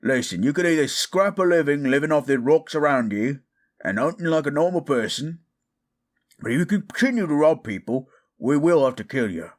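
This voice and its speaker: A man playing a gruff orc fighter with rough, colloquial speech in a fantasy audio drama. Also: a little high, animated, moderate pace, close to an everyday voice. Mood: stern. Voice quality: gravelly, husky.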